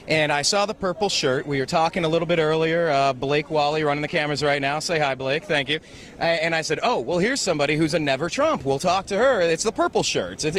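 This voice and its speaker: Male street reporter, fast and chatty, slightly high voice. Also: a bit bright. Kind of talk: street interview. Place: outdoors on a city street.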